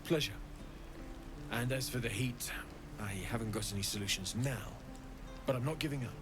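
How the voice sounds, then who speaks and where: A man's voice: medium pitch, moderate pace, close to an everyday voice. An actor playing a kind, earnest male blacksmith who tinkers in a video game. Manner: earnest, thoughtful, technical. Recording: game audio with music underneath.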